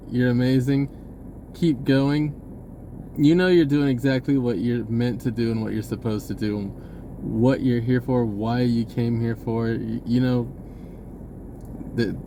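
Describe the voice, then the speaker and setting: A man's voice: medium pitch, mellow, slight background noise. A man giving a rambling, spontaneous message in an informal, hesitant way, often rephrasing as he goes. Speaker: online video creator.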